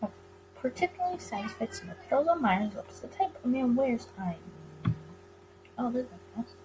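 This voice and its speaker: Female game reader, slightly high voice, narrating a story with small stumbles, faint background noise. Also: lively intonation, normal speed. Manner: stumbles over words and rereads them. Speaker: let's-play reader of a visual novel. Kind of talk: reading a visual novel aloud, narration and character lines.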